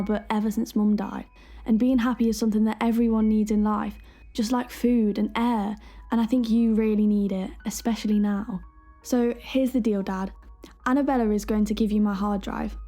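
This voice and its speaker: Give a mellow, gentle young woman's voice for an audio drama , an actress as a late daughter. She is loving and earnest, speaking conversationally and reassuringly over music. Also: medium pitch, natural voice. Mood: caring, insistent. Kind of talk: audio drama letter monologue.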